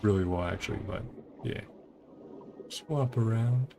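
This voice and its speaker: Very gravelly, laid-back male streamer. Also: on the low side, measured pace, background noise. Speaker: video game streamer. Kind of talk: casual gameplay commentary.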